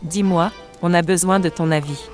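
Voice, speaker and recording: A woman plays a tough Resistance fighter with a low voice. She talks in a familiar, plain way, as in a wartime radio play, with music behind her. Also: fairly even intonation, normal speed, darkish tone, lightly acted. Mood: matter-of-fact. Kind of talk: wartime audio drama dialogue.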